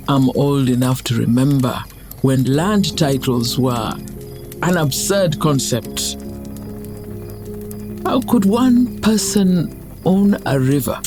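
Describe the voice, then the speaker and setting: A man playing a wise, solemn old community leader in a motion comic drama, measured and reflective. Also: on the high side. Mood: philosophical. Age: older.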